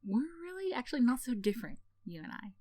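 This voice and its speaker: A woman's voice: on the high side, big pitch swings, fast, mellow, dry recording, clean studio sound. A woman playing a sardonic tsundere villain in a roleplay ASMR, teasing and condescending with theatrical sighs and scoffs, yet secretly caring. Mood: cynical, earnest.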